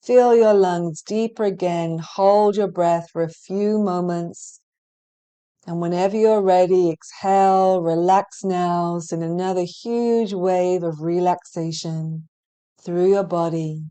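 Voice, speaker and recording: A woman leading a guided relaxation meditation in a soothing, gentle and measured manner, leaving long pauses between phrases. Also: lowish voice, steady tone, clean tone. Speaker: hypnotherapy practitioner guiding meditation. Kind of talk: guided relaxation meditation, hypnotic scripted narration.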